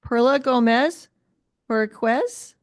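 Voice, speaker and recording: A woman's voice: a little high, slow, no room sound, studio quality. A woman reading out student names at a school board meeting, apologetic and informal.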